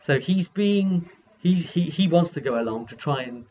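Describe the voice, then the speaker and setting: A man casually recapping a tabletop role-playing campaign as its game master, acting out what the characters said in an animated way. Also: on the high side, moderate pace, highs cut off, a little hiss or hum. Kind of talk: casual vlog storytelling.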